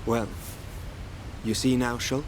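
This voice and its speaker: An actor playing a calm, enigmatic young mentor in a dramatic video game, speaking in a measured, formal way. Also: male voice, mid-range voice, very noisy, natural voice. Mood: knowing, solemn. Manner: measured, composed, cryptic, formal. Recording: loud music behind. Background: loud game music.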